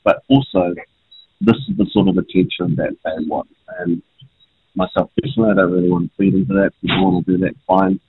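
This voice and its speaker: Gravelly New Zealand man on a phone line. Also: deep voice, very animated, fairly quick. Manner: impassioned, pointed. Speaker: politician guest. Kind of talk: phone-in interview. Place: on a train.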